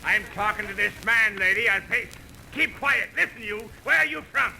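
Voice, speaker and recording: An actor playing a blustering, bullying Irish-American traffic cop, barking bossy streetwise slang in old radio comedy style. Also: male voice, sits high, expressive, normal speed, creaky, pushed and bright, exaggerated voice. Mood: officious, angry. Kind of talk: 1930s-40s radio comedy acting. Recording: noisy old radio broadcast recording.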